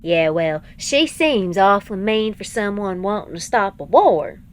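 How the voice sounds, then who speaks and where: Woman acting a drawling, very animated space pilot. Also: medium pitch, unhurried, natural voice. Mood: doubtful, playful. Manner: drawling, colloquial, playful. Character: folksy, soft-hearted human woman space pilot, drifter. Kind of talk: science fiction audio drama acting.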